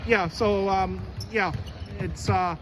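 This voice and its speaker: A man doing a casual interview in a halting, hesitant way, often repeating and restarting his phrases. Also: high-pitched, fairly even intonation. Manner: halting, hesitant, repetitive delivery. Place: indoor basketball gym. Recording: noisy gym, bouncing balls behind.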